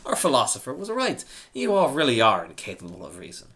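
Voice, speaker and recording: Man acting out a pompous, scoffing emperor, pitch leaping. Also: wildly swooping pitch, brisk, a bit bright. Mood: scoffing, indignant. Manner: imperious, dismissive. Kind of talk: humorous storybook dialogue.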